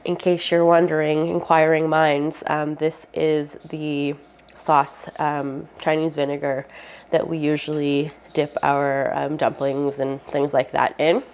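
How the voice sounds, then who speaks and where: Young woman with a stuffy nose from a cold, chatty vlog talk, lots of ums, lowish voice. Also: little pitch movement, slow, a little mellow. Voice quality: stuffy, nasal. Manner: chatty, rambling with many fillers. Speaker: vlogger. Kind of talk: casual vlog chat.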